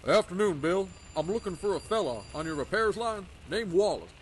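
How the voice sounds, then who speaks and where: An actor playing a brisk, plain-spoken, folksy railyard foreman in an old-time radio drama. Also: sits high, expressive, heavy background noise. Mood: businesslike.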